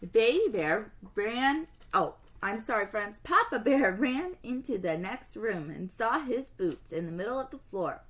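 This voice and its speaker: Female teacher storyteller for children's read-aloud: lively intonation, expressive, on the high side. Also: a little slow, hardly any pauses.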